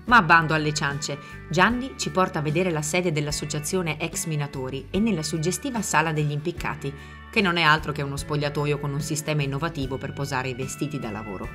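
A woman's voice: low voice, brisk, music in the background. A woman co-narrating a comic travel show with teasing asides.

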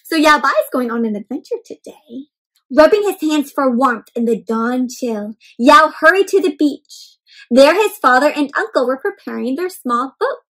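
A young woman hosting an interactive read-aloud for small children, expressive and full of questions addressed straight to her listeners. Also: sits high, moderate pace, poor audio. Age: young adult.

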